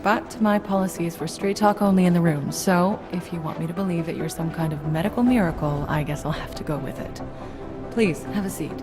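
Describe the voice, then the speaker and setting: An actress playing a calm, probing doctor who questions a patient in a game cutscene. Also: female voice, lowish voice, fairly quick, close to an everyday voice. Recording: game cutscene with loud music.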